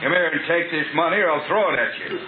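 An actor playing a belligerent, threatening big bully in a 1950s radio western. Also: male voice, a little high, fast talker, over a noisy crowd, close to an everyday voice. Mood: angry, threatening. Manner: loud, threatening, demanding. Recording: very noisy, narrowband old radio recording.

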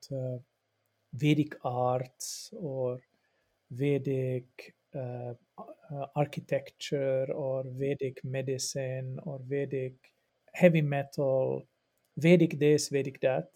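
A man's voice: mid-range voice, fairly even intonation, slow, warm tone. A middle-aged man from Finland whose first language is Swedish, a religious studies professor explaining patiently in a podcast interview, often repeating words as he thinks. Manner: thoughtful and explanatory, with frequent repeats and fillers.

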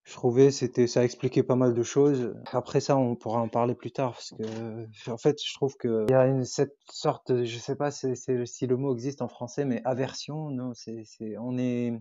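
A man's voice: medium pitch, fairly even intonation, normal speed, few pauses, mellow, dull audio. A young man from Tunisia whose first language is Arabic, chatting as a podcast guest and hesitating often as he searches for words. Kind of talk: casual interview chat.

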